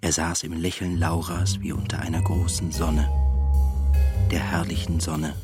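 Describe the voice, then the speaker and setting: A man's voice: low-pitched, level tone, measured pace. An actor playing a pensive, observant man who recounts his thoughts in a literary radio drama. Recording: music underneath.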